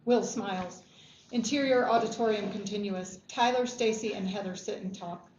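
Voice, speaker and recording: A woman's voice: mid-range voice, normal speed, darkish tone. Echoey woman reading screenplay directions. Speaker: reader of stage directions. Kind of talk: screenplay table read narration. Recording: echoey, dull, faintly noisy room recording.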